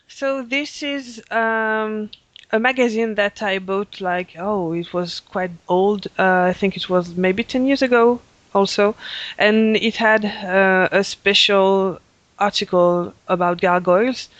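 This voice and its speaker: A man's voice: sits high, steady tone, slow pace, a bit bright, dry recording, dull audio. A man hosting a fan podcast, talking in a hesitant way with many fillers and frequent laughs. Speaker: podcast host. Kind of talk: casual chat.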